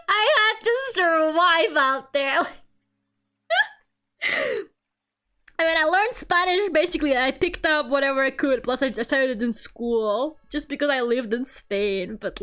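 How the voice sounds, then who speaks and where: A woman's voice: sits high, lively intonation, slow, bright, forceful tone. A woman whose first language is Russian, with a mixed Russian and British accent, chatting casually and self-deprecatingly while drawing on a stream. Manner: chatty, self-deprecating, laughs often, many fillers.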